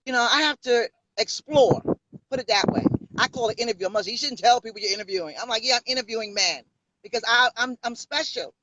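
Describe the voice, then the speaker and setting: A woman's voice: a little high, quick pace, pushed and bright, lo-fi sound, slight background noise. A woman in her fifties from New York, with a New York accent, telling her story in a bold, sassy way as an interview guest. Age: 50s. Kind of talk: casual interview.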